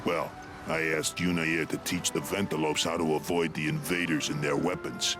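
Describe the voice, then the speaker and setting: An actor playing a suspicious, moody alien club leader in a video game, speaking in a guarded, grave manner. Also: male voice, rumbling low, level tone, normal speed, music in the background. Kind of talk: video game cutscene acting.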